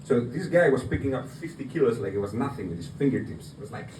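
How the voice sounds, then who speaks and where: Man, Greek accent, amateur stand-up delivery, informal and halting, lively intonation, roomy echo, clearly noisy bar recording. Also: medium pitch. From Greece. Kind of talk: stand-up comedy storytelling to an audience. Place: live open mic night in a bar. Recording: roomy, distant mic, noisy bar open mic. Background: room noise, audience laughter.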